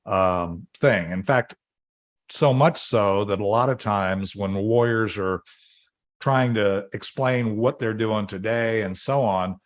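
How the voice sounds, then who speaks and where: Middle-aged male podcast host, low-pitched, loose and rambling delivery. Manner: rambling, many fillers and restarts. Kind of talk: casual interview chat.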